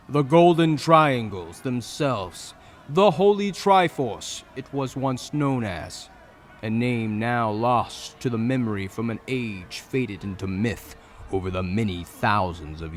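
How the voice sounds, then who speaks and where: A man narrating a scripted fantasy audio drama in a dramatic storytelling style. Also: on the low side. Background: music.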